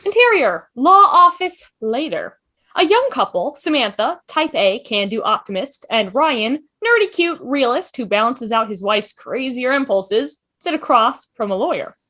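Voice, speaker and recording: Animated, higher-voiced woman reading stage directions. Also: a little slow. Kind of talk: scripted narration for amateur table read.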